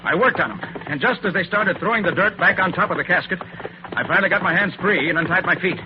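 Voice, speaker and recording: A hissy, dull old radio recording carries an actor as a sharp-witted master detective. He is a man with a slightly high voice who talks rapidly and with confidence, laying things out like a seasoned radio-drama lead. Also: close to an everyday voice. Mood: urgent, recounting. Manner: brisk, assured explaining.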